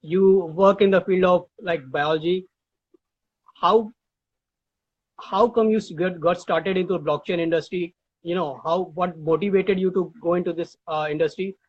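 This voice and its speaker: A man's voice: high voice, steady tone, normal speed. A man hosting an interview over a video call, asking long hesitant questions with many fillers. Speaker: interviewer, host. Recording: dull lo-fi call audio.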